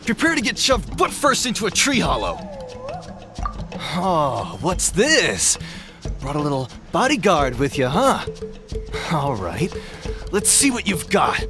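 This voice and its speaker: A cowardly petty bandit with a rough, gravelly edge and a lively, up-and-down delivery. He swaggers and then grovels, over clear game music. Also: a little high, measured pace, long pauses, full character voice. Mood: sneering. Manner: mocking, then pleading. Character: cowardly male bandit, sneering then begging. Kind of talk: game voice acting. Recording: game audio with music behind.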